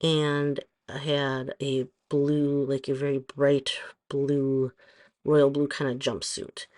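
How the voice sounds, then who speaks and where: A middle-aged woman with a hoarse voice, chatting casually through a nail tutorial and rambling with frequent pauses and ums. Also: very deep voice, level tone, darkish tone. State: hoarse from allergies. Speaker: nail stylist vlogger.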